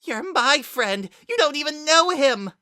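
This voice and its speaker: Frantic high-pitched spirit child, shrieking. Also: male voice, animated, unhurried, no room sound, studio quality, full character voice. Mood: furious, pleading. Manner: frantic, shouting protests with cracking intensity. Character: desperate, furious dark spirit child possessing a friend's body.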